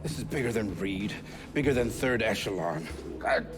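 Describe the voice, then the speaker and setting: A man's voice: on the low side, lively intonation, normal speed, over music, natural voice. A man playing a smug, defiant conspiracy villain in a video game, with a sardonic, condescending manner. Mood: defiant, pained. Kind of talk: video game cutscene acting.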